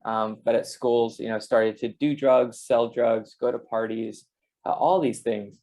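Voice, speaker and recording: Man with an even, steady delivery. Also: mid-range voice, unhurried. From Canada and the United States. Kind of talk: casual personal testimony.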